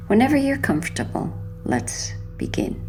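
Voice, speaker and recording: A woman narrating a sleep hypnosis session in a soothing, hushed, gentle manner, letting her phrases linger like guided relaxation. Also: fairly high pitch, big pitch swings, normal speed, slight background noise.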